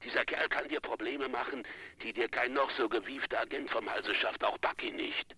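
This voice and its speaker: An actor playing a blunt, urgent associate with a rough voice through a telephone filter, in a German radio drama. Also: male voice, medium pitch, moderate pace, pushed and bright. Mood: urgent, warning.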